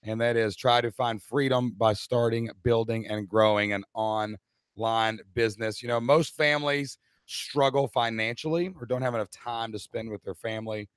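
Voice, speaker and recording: A middle-aged man, hoarse-voiced, co-hosting a live online Q&A and talking straight to the chat audience in an upbeat way. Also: medium pitch, fairly even intonation, moderate pace, no echo.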